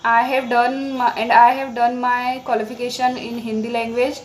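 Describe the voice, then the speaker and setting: A woman with an Indian accent and Marathi as her native language, speaking halting, simple learner's English in an informal talk to viewers. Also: a little high, level tone, poor audio. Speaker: English-practice vlogger. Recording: background noise.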